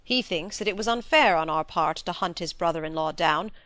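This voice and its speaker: A woman's voice: fairly low pitch, brisk, fairly bright tone, no echo, pitched below the performer's own voice, natural voice. A woman voicing a firm, fair-minded male baronet with a direct, emphatic and formal manner, in a dramatised novel reading. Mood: indignant, firm.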